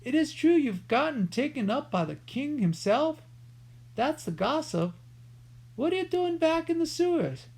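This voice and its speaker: A garrulous old rat catcher with a high, strained voice, shouting because he can barely hear, as a storyteller puts him on. His pitch leaps all over the place and his voice has a gravelly edge. He comes from a spoken-word children's story. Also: big pitch swings, measured pace, heavily acted. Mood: hearty, half-deaf. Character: old deaf male rat catcher, friendly, chatty.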